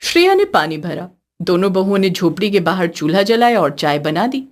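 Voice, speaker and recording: A woman's voice: lowish voice, very animated, normal speed. A woman giving scripted narration as the storyteller of a cartoon tale.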